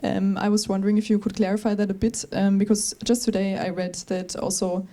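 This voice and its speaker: Woman with a mellow voice. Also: medium pitch, little pitch movement, brisk. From Austria. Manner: articulate, direct questioning. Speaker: student asking a question.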